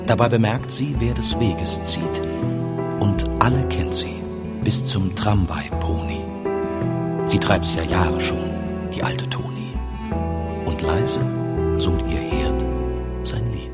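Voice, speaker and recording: Poetry reader. Deep, slow, expressive recitation set against prominent music. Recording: loud music under the voice. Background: loud instrumental music.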